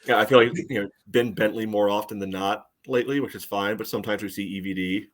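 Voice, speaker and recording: A man with a fairly low voice co-hosts a sports podcast. His delivery is conversational and dry, with sardonic emphasis on his points. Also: fairly even intonation, fast talker. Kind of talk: casual soccer discussion.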